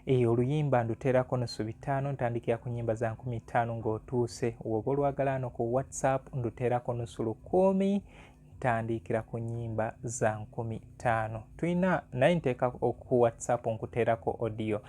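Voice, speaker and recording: A man's voice: medium pitch, fairly quick, warm tone. A Ugandan man hosting an informal music vlog, talking conversationally and repeating phrases for emphasis. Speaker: music analyst and channel host.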